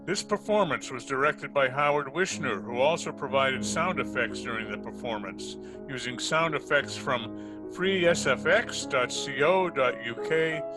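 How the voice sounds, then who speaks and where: Plucked guitar plays clearly under a male announcer of medium pitch. He reads scripted credits for an old-time radio show. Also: rough recording. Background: plucked guitar music.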